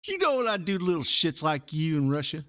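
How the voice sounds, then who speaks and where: An evasive, thin-skinned male president played by a man in an audio comedy interview. His pitch is high and swoops around a lot. He talks like a defensive slacker dude with a menacing streak. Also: very animated, fast talker, bright, forceful tone. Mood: sneering, menacing. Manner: casual, defensive, drawling dude talk. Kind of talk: improvised-sounding comic interview acting.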